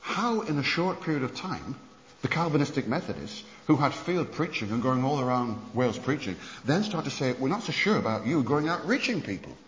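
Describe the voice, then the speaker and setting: A man preaching at a conference, telling church history stories in an animated way with many restarts. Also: medium pitch, a little fast. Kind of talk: sermon lecture on church history. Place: conference hall. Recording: muffled hall recording with long reverb.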